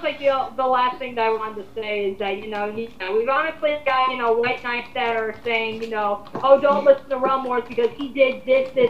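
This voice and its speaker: A woman's voice: on the high side, slight background noise. A woman on a casual group rant, talking in an exasperated, emphatic way with plenty of swearing. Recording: muffled, echoey amateur voice recording.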